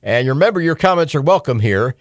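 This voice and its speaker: A man's voice: on the high side, expressive, brisk. A middle-aged American man hosting talk radio, giving a casual, opinionated monologue in a folksy, conversational way with emphatic asides. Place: radio studio. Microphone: close studio microphone.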